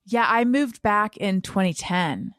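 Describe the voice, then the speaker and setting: Woman, American accent, podcast host, casual chat, rambling and self-deprecating, medium pitch, very dry recording. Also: lively intonation, takes it slowly, a bit bright, no room sound. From Orange County, United States. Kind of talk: casual conversational interview.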